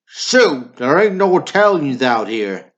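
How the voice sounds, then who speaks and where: Man acting taunting tough guy, higher-pitched, muffled. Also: very animated, slow, a bit bright, full character voice. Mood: scornful. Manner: taunting, needling. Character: belligerent, mocking small-town barroom tough guy. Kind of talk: amateur radio drama acting. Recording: dull lo-fi radio drama recording.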